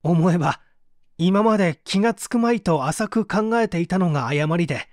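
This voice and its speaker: A man's voice: high voice, lively intonation, moderate pace, no echo, studio quality. A man playing an anguished painter confessing to an interrogator in a polite, emotive radio-drama reading. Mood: remorseful, subdued. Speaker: narrator and reader.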